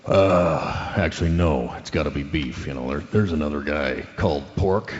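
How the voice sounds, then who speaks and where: An actor playing a big, dim, hungry middle-aged ex-football player on a radio show, rambling along with earnest hesitation. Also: male voice, rumbling low, normal speed. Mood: affable, hesitant. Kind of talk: comedic radio drama guest interview. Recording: dull audio in a reverberant hall.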